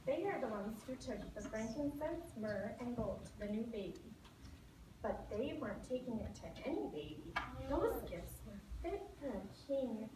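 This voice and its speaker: A woman acts out a rich, self-important puppet lady with a fairly high voice. She declaims boastfully for a stage show. The sound is distant and echoey, with clear background noise. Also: big pitch swings, normal speed. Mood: earnest. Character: vain, boastful rich woman who loves expensive gifts. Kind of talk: church puppet show acting. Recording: echoey hall, far mic, noisy.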